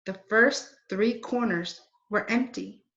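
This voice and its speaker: Slow-paced woman reading a storybook. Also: mid-range voice, creaky, a bit bright. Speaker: read-aloud storyteller. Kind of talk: reading a children's book aloud. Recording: roomy, dull lo-fi recording.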